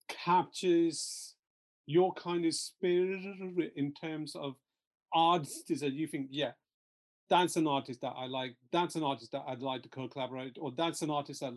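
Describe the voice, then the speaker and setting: A man interviewing a musician, keen and halting, repeating words as he starts. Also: on the high side. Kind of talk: casual interview chat.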